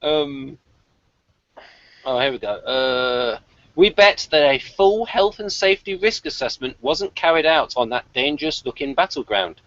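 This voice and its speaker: A man's voice: on the high side, expressive, unhurried, fairly bright tone, no echo. A British man co-hosting a casual review chat, speaking in a hesitant, rambling, dryly wry way and partly reading from notes. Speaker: podcast co-host. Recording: slightly dull, lo-fi voice recording.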